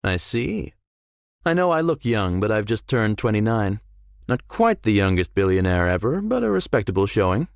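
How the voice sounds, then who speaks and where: A man's voice: on the low side, sing-song, moderate pace, vocal fry, no room sound, clean studio sound. A man voicing a suave, self-assured young billionaire in a visual novel, with formal, polished and theatrical phrasing. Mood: charming, confident. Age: young adult. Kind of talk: visual novel character acting.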